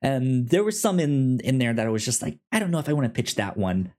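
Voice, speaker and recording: A man's voice: mid-range voice, lively intonation, a little fast, darkish tone. A man chatting casually as a podcast co-host, often stammering and repeating sounds and the starts of words. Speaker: podcast co-host pitching films and comics.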